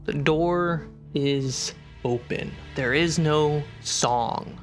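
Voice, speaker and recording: A man's voice: fairly high pitch, drawn-out words, soft background music. A man acting as game master, narrating scenes of a tabletop role-playing game.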